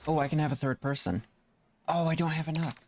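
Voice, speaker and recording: A man's voice: fairly high pitch, fairly even intonation, fast, darkish tone, slight background noise. A man doing casual gameplay commentary as a streamer, thinking aloud and muttering to himself in short fragments. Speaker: gaming streamer. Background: background music.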